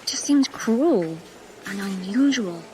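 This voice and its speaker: An actress playing a new young female nurse who is blunt and sharp-tongued. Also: mid-range voice, lively intonation, slow. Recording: noisy background.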